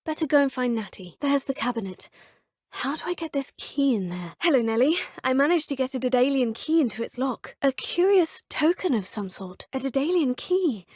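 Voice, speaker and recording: A polite student witch for a game, highish breathy voice, bone dry booth sound. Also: lively intonation, normal speed, a little mellow, no room sound. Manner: polite, formal and composed. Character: young female student witch, polite and curious. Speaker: player character. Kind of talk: video game voice lines.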